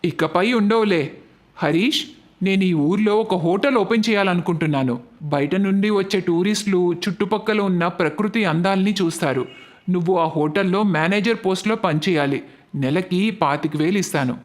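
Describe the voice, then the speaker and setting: A man playing a wealthy, generous brother-in-law from the city in an animated family story, earnest and assured. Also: high voice, brisk, natural voice.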